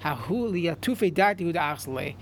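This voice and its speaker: Man with a high voice, talmud lesson, faint room ambience. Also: animated, moderate pace, a little hiss or hum. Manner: reads in chant then translates, frequent restarts. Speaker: Talmud teacher. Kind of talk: Talmud class, reading and translating.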